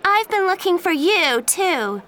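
A woman voicing an angry, defiant teenage girl who speaks high and sharp. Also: sing-song, unhurried, some chatter behind, exaggerated voice.

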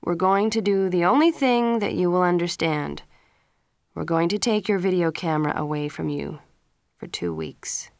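Stern dad telling off his kid, firm and loud-ish, dry close sound, a lady reading it. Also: lowish voice, normal speed, creaky, no echo. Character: stern middle-aged father scolding his son. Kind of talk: storybook character reading.

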